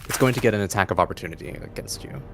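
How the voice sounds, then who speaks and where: Very deep, gravelly male game master. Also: lively intonation, racing. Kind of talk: narrating a tabletop game. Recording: faint music bed under voice. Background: faint music.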